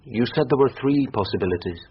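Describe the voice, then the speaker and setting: An actor with a British accent playing an earnest, defensive, well-spoken husband under questioning in a radio drama. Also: male voice, medium pitch, animated, quick pace, breathy edge. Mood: defensive, candid. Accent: British English. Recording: muffled radio broadcast, hissy with clicks.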